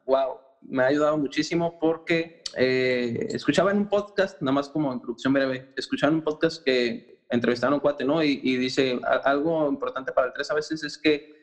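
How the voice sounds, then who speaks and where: Podcast guest, man, 30s, hesitant with restarts, mid pitch. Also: moderate pace, low-quality recording. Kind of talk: casual self-introduction.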